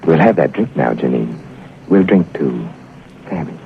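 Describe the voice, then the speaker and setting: A man's voice: extremely low pitch, animated, a little slow, vocal fry, slight background noise, exaggerated voice. An actor playing a weary, grave man in old radio drama style. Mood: weary, grim. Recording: tinny phone-like old radio recording.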